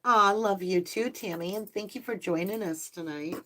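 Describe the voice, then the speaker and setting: A woman hosting a live tarot stream, talking softly and affectionately to her viewers, her voice slightly hoarse and tired. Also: mid-range voice. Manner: soft, slow, spiritual and affectionate, addressing viewers as lovelies. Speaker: tarot reader and channel host.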